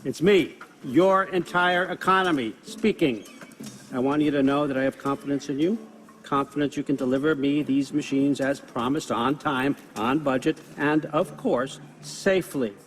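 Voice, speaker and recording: A man's voice: on the high side, moderate pace, lightly acted. An actor playing a sly, powerful older god-king in a video game, offhand and commanding. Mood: biting authority. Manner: offhand, wry, talking like a busy boss. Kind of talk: naturalistic video game cutscene acting. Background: background music.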